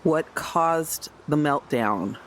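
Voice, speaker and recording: Male player, casual, higher-pitched, very unhurried, slight noise. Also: animated, fairly bright tone. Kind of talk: casual tabletop game chat.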